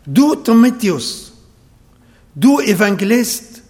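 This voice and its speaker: A man with an Arabic accent preaching a sermon and praying, slow and emphatic, repeating phrases to his congregation. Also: sits high, sing-song, a little mellow, in a large hall. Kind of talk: sermon with prayer. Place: church hall, livestreamed service.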